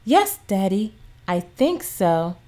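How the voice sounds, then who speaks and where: A woman's voice: medium pitch, big pitch swings, slow pace, warm tone. A woman voicing an earnest, innocent little girl in a children's storybook read-aloud. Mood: quiet, shy. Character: earnest, curious little girl, worried but trusting.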